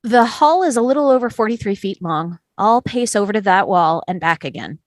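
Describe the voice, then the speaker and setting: A woman plays a calm, persistent juror in a courtroom drama. Her mid-pitched voice is measured and explanatory, recorded close and dry. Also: no echo, close to an everyday voice. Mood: reasoning. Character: calm, reasoning, persistent juror testing evidence. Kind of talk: play table read.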